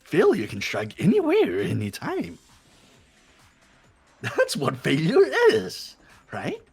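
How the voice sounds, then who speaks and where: Taunting robot bear villain. Wildly swinging pitch, gravelly creak, theatrical glee. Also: male voice, wildly swooping pitch, takes it slowly, a little mellow, soft background music, exaggerated voice. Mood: smug feigned innocence. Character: mocking, gleefully evil robot bear mastermind. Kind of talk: amateur video game voice acting.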